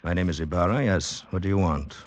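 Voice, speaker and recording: Actor as a low-voiced, haunted mining engineer. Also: male voice, close to an everyday voice. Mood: stunned disbelief. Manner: grave, measured, dramatic storytelling. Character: haunted mining engineer, former mountaineer, telling his tale. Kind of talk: old-time radio drama acting.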